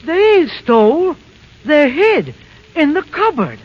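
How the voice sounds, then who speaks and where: An actor playing a small, timid, awestruck dwarf in 1930s radio drama style. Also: male voice, very high-pitched, huge pitch swings, slow pace, full character voice. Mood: awed, nervous. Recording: muffled, faintly noisy 1930s radio broadcast.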